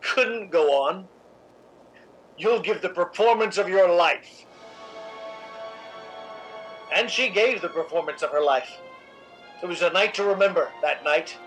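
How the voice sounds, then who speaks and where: A woman's voice: lowish voice, unhurried, pauses often, a bit bright, faint music behind, poor audio. A woman playing a cold, cynical, domineering theatre columnist in a radio drama, speaking in an imperious, articulate way. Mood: controlling, cynical, then wry narration. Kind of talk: 1950s radio drama acting.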